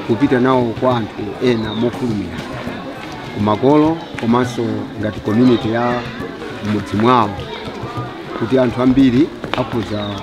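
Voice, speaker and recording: A man speaking deliberately, phrase by phrase, in an outdoor documentary interview. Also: medium pitch. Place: outdoors in a yard. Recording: busy crowd chatter behind. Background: crowd.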